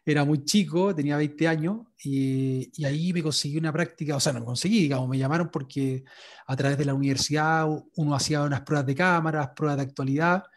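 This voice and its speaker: A sports journalist being interviewed, telling his story in a relaxed way, with many fillers and restarts. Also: a little high, fast. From Chile. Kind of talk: interview answers.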